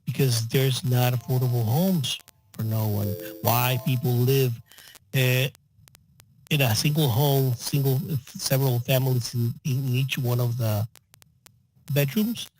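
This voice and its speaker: Guy interpreting at a public meeting, kinda halting, mid pitch, bit of noise n clicks. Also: steady tone, a little mellow, low-quality recording. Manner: halting, piecemeal phrasing. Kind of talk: consecutive interpreting of public comment.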